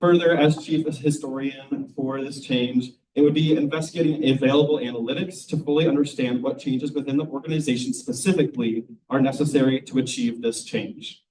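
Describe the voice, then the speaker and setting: A man's voice: medium pitch, steady tone, brisk, hardly any pauses, a little mellow. A young man from Michigan, a first-year student, giving an eager, formal campaign speech at a student forum. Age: young adult. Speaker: student election candidate. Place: room at a public forum. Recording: voice from far off the mic.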